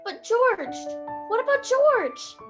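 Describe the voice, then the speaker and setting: Super high wide-eyed angel girl acting, excitable, voice jumps all over, old radio play, faint music. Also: exaggerated voice. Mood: anxious, curious. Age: young adult. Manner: drawn-out, gasping exclamations. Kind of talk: 1940s radio drama acting.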